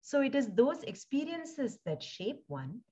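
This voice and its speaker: A woman born in India and raised in Singapore, speaking thoughtfully as an interview guest, with frequent pauses, fillers and restarts. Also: sits high, sing-song, mellow, lo-fi sound. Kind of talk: reflective conversational interview.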